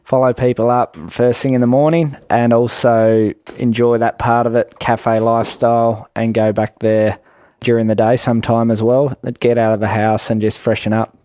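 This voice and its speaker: Aussie guy chatting advice on an interview, soft-edged mellow tone, dry recording. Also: mid-range voice, little pitch movement, normal speed, smooth, no echo. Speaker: guest, home-business coach. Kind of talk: conversational advice.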